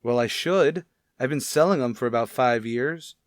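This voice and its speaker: A man's voice: mid-range voice, moderate pace, dry recording, studio quality, natural voice. A man playing a cocky, defensive salesman under arrest in a radio crime drama, wisecracking and sneering. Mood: scornful. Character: cocky, defensive thirty-one-year-old clothing salesman under arrest. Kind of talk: old-time radio crime drama acting.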